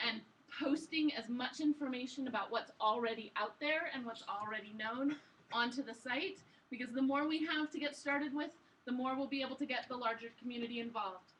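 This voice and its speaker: Woman presenting a project, kinda high bright voice, chatty and keen, mic is far off. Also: normal speed. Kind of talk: informal live pitch to an audience. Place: live event room with audience. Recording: distant mic, faint background noise.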